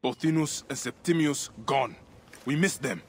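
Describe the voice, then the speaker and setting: An actor playing a warrior who speaks in a dry, wry way. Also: male voice, mid-range voice, normal speed, a little hiss or hum, natural voice.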